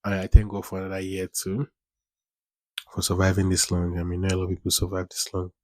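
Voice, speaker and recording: A man's voice: sits low, completely flat delivery, fairly quick, no echo. A man in his twenties hosting a casual solo podcast, rambling, repeating phrases and using informal slang. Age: 20s. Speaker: podcast host.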